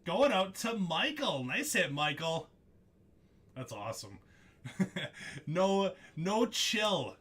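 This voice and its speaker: A man's voice: a little high, sharp tone. A young man hosting a live trading-card break stream, chatting casually with viewers by name, laughing often as he reads out the cards he pulls.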